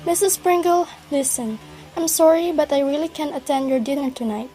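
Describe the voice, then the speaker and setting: A woman's voice: high-pitched, a little fast, warm tone, close to an everyday voice. A teenage girl playing an apologetic married woman guest in an amateur one-act play.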